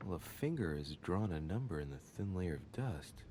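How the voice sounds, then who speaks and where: An actor playing a brooding investigator in a video game, speaking in a measured, solemn and formal way. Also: male voice, low voice, sing-song, mellow, a little hiss or hum. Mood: pensive. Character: brooding male investigator examining religious paintings and scripture. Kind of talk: scripted video game voice acting.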